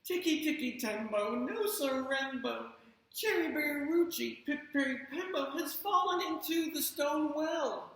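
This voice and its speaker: A woman's voice: high voice, expressive, measured pace, fairly bright tone, pitched above the performer's own voice, heavily acted. A woman voicing a small frantic boy who pleads breathlessly and stumbles over a long name. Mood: breathless pleading. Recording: echoey room.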